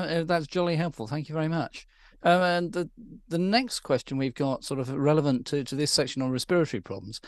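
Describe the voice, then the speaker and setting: A man's voice: fairly high pitch, dry recording, studio quality. A British man in his sixties reading out questions politely and a little hesitantly, on a headset in a video call. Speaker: question reader. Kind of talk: moderating an online Q&A.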